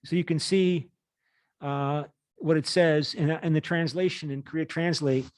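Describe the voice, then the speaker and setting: A man in his late fifties lecturing as a university teacher, reading aloud and explaining in a halting way with rephrasings. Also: fairly high pitch, fairly even intonation, a little mellow, no echo.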